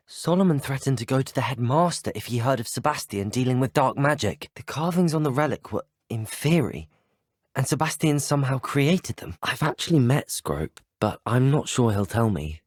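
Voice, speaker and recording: Polite, expressive British schoolboy hero. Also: male voice, mid-range voice, slightly rough, dry recording, clean studio sound. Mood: concerned. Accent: British English. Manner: polite, articulate, well-spoken. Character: earnest, polite teenage boy student at a wizarding school. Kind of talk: video game dialogue acting.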